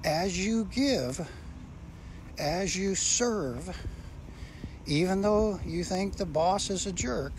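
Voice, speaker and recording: Older man, pastor, slightly high voice, very slow earnest preaching, phone at arm's length, outdoor noise. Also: darkish tone, rough recording. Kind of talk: outdoor devotional walk-and-talk. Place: outdoors in bright sun. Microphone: phone held low at arm's length.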